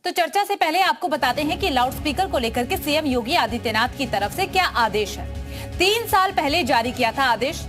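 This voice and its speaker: High-pitched woman news anchor. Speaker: TV news anchor and debate host. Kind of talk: live news presenting.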